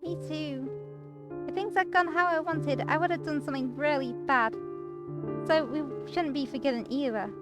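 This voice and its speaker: A woman's voice: high-pitched, normal speed, pitched above the performer's own voice, lightly acted. A woman voicing a caring, forthright young female friend in a visual novel, earnest and pleading. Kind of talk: visual novel read aloud. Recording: music behind the voice.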